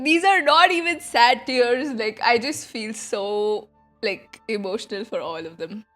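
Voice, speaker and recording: A young woman with a high voice streams her game reactions. She talks slowly and informally, in an emotional, gushing way, with faint music behind her. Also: fairly bright tone. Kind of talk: casual livestream reaction chat.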